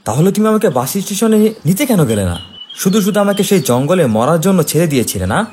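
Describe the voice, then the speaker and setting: A man's voice: high voice, sing-song, brisk, a little hiss or hum. A man voicing an anxious traveller in a horror cartoon, dramatic and full of exclaimed questions. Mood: reproachful. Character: anxious male traveller lost at night in horror tale. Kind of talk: animated horror cartoon dialogue. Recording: loud music behind.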